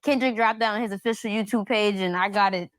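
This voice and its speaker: American woman podcast co-host, casual chat, mid pitch, dry close audio. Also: steady tone, a little fast, no room sound.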